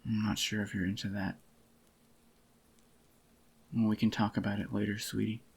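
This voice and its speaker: A man playing a doting, possessive yandere boyfriend in a soft-spoken ASMR roleplay, with a tender, intimate murmur and a husky, slightly groggy voice. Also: on the low side, flat delivery, normal speed, slight background noise. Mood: soothing.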